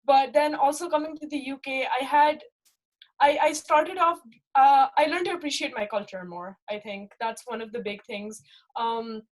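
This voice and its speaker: A woman's voice: high voice, normal speed, rough recording. A young woman from Pakistan with a light Pakistani accent, reflecting candidly in a casual talk and often asking "right?" as she thinks aloud. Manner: reflective, with many fillers and rhetorical "right?". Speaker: interviewee. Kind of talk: casual personal account.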